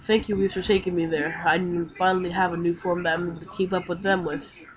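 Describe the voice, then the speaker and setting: A woman playing a determined, battle-hungry anime fighter in an amateur game roleplay, with halting, improvised delivery. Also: on the low side, steady tone, soft background music, natural voice, low-quality recording. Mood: relieved.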